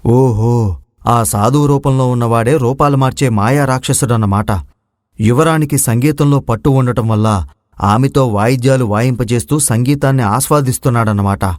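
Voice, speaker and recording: A man's voice: mid-range voice, normal speed, no echo, studio quality, natural voice. A man playing a brave, clever young prince in a storybook tale, speaking formally and confidently. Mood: determined. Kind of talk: Telugu moral story voice acting.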